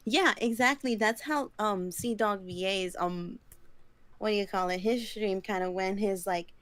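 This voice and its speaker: A woman's voice: medium pitch, measured pace, fairly bright tone, no room sound. Chatty young woman, rambling with lots of fillers. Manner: chatty and rambling, lots of likes and ums, giggly. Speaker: podcast co-host. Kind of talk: casual chat.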